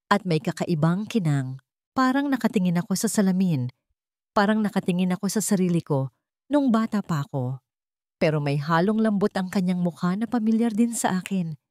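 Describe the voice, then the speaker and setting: Female storyteller, lowish pitch, very animated melody, dramatic measured phrasing, dry close sound. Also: no room sound. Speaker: narrator. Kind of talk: dramatic scripted first-person story narration.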